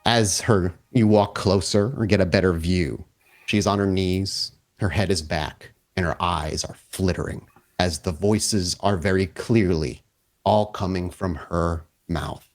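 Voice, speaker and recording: A man narrating a tabletop horror game as storyteller, with descriptive, deliberate phrasing. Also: low voice.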